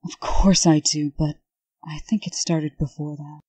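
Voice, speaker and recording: A woman's voice: low voice, flat delivery, unhurried, mellow, no echo. A woman playing a prim, authoritative administrator and exasperated sister, formal and scolding, in a comic dub. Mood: hurt.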